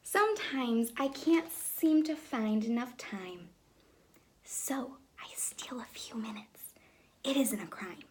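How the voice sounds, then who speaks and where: A woman teacher reading a picture book aloud in an expressive way, speaking to young children. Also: on the high side, slow, a little hiss or hum. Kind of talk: children's story read-aloud. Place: room at home with reading chair.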